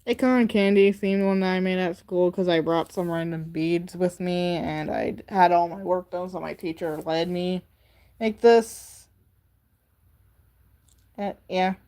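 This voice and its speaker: A teenage girl hosting a casual livestream. She talks slowly and rambles through her sentences, padding them with fillers as she holds up crafts and speaks straight to her viewers. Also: fairly low pitch, steady tone, warm tone. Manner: rambling, filler-heavy with many "like" and "um". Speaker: livestream host. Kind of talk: casual livestream chat showing handmade crafts.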